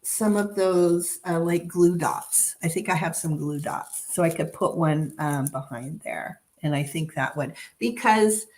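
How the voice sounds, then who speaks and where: A woman hosting a casual live craft chat from her home, slightly hoarse and rambling with many fillers and restarts. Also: sits low, unhurried, few pauses, a little mellow. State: slightly hoarse, froggy throat. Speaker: craft channel host. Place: home dining room craft table.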